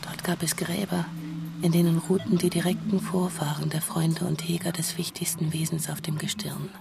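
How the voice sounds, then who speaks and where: A woman narrating a literary science-fiction radio drama in a measured, precise manner. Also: lowish voice, flat delivery, creaky, noisy background. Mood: measured, storytelling. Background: music.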